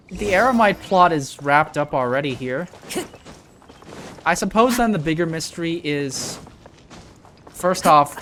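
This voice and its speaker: A mid-pitched gaming creator reacts casually, thinking aloud and asking themselves questions. Faint noise sits behind the voice. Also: a bit bright. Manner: musing aloud, self-questioning. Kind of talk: casual game reaction commentary.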